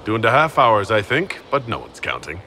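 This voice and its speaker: An actor playing a calm, reassuring fantasy leader in a video game, speaking in a measured, gentle way. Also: male voice, fairly low pitch, a little hiss or hum, heavily acted. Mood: gentle concern. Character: calm, reassuring young-to-middle-aged male fantasy leader. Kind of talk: video game dialogue acting.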